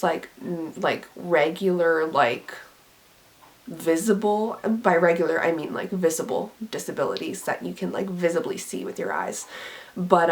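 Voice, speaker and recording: A young woman chatting casually about books, a little raspy and scratchy from being sick, rambling with lots of "like" and "um". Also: fairly low pitch. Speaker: book vlogger. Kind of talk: casual chat to camera.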